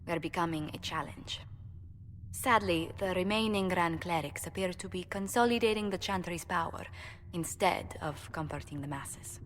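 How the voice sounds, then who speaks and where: An actress playing a courteous young female ambassador in a video game, speaking in a formal, measured, diplomatic way. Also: fairly low pitch, close to an everyday voice. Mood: poised, explaining. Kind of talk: video game dialogue acting. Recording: noisy, long hall reverb.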